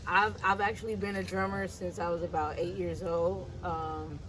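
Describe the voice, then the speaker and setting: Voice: mid-range voice, level tone, normal speed, rough recording. A drummer from Los Angeles, telling their story casually in an interview with frequent ums. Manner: easygoing, with frequent "um".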